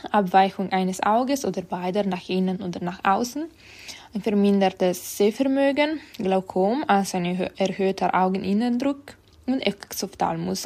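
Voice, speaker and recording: A woman's voice: medium pitch, a little mellow. A woman in her twenties, an Italian native speaker with an Italian accent, introduces herself and then gives an informative presentation carefully, with occasional restarts. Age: 20s. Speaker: school counselor giving a presentation. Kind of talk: friendly self-introduction, then reading an informative talk.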